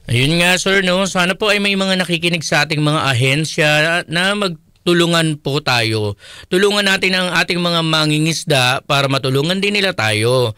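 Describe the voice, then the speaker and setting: A man's voice: a little high, animated, normal speed, bright, forceful tone, smooth, dry recording, clean studio sound. A Filipino man co-hosting a radio interview in a conversational style. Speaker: radio co-host.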